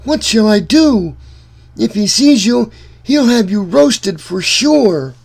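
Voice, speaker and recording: A man's voice: animated, slow pace, creaky, darkish tone, full character voice. A man voicing an anxious, protective giantess in a storybook, with an alarmed, urgent manner. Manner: high, alarmed falsetto urgency. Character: anxious, protective giant's wife, a big woman. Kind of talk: storybook read-aloud.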